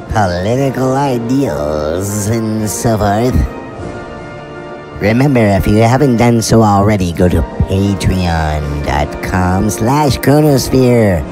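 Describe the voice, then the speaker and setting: A man playing an easygoing, folksy spaceship mechanic who hosts a fiction podcast in a playful, leisurely manner. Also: on the low side. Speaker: show host. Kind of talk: in-character podcast introduction. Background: music.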